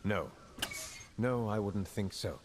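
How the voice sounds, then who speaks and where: An actor playing an earnest, troubled intelligence agent in a science fiction game cutscene. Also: male voice, fairly low pitch, takes it slowly, heavy background noise. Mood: remorseful, determined. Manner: earnest, serious. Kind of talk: video game cutscene acting. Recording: long reverb, music underneath.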